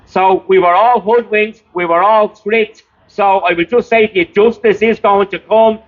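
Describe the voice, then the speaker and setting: A high-voiced man with an Irish accent addresses the public as a campaigner. He is earnest and emphatic, driving points home through repetition. The sound is thin and narrow, like a phone recording. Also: fairly even intonation, moderate pace. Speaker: campaign volunteer giving an address. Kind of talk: public protest speech. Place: outdoors outside a hospital.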